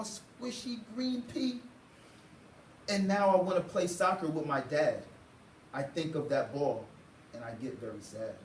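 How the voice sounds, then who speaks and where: Man reading a storybook, roomy and distant. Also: sits high. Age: young adult. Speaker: student teacher reading to a class. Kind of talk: expressive storybook read-aloud to children. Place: indoor school classroom. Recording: roomy, distant mic, faint noise.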